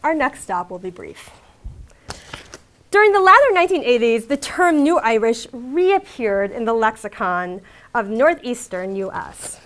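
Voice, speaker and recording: A woman delivering a university lecture on fiction and drama. Her voice sits fairly high and moves a great deal in pitch, rising to stress key phrases. She reads from a prepared text in a measured, emphatic way, with the odd "um" along the way. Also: very animated, fairly bright tone. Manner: measured, emphatic reading with occasional fillers. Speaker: academic lecturer. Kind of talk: reading a prepared academic lecture. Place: lecture hall.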